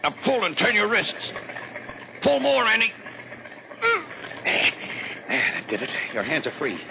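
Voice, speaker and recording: A man's voice: high-pitched, huge pitch swings, measured pace, full character voice. An actor playing a tough, resolute newspaperman in danger, speaking tersely and urgently in 1940s radio crime drama style. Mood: strained, urgent. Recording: noisy, narrow-band old radio recording.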